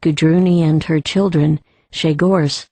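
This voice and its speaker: Low, mellow, unhurried female narrator. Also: steady tone, no echo. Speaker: audiobook narrator. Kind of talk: scripted storytelling narration.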